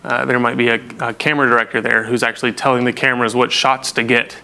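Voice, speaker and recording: A man's voice: mid-range voice, flat delivery, fast talker, gravelly, pushed and bright, clean tone. A man presenting an informal technical lesson, explaining things in a casual, plain-spoken way. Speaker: presenter of a technical training session.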